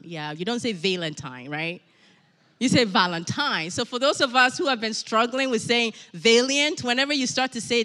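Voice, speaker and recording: A middle-aged woman preaches in an animated, emphatic way, repeating her key lines for effect. Her voice is bright and forward. A long reverb trails after her words, as if she stands in a big church hall. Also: mid-range voice. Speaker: guest preacher. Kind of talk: sermon with personal asides.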